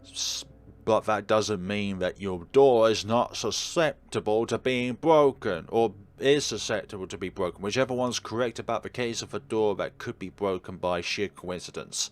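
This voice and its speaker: A man's voice: fairly low pitch, normal speed. A man reading a visual novel aloud as a let's player, dropping in wry, casual commentary. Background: game music under the voice.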